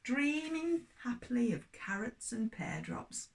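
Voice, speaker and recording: An older woman narrates a story for young children. She takes her time, stretching words expressively for her little listeners. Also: fairly high pitch. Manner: expressive, addressing small children, drawn-out words.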